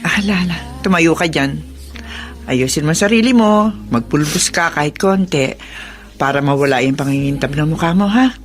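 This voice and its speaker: An actress with a Filipino accent playing a caring, gently scolding middle-aged mother in a radio drama, over background music. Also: deep voice, animated, moderate pace. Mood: coaxing, teasing. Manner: motherly, coaxing.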